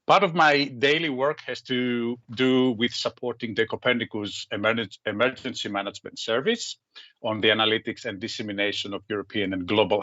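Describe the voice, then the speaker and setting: A man's voice: medium pitch, sharp tone, no room sound. A man from Greece giving an earnest, prepared self-introduction as a researcher over a video call. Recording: dull lo-fi sound.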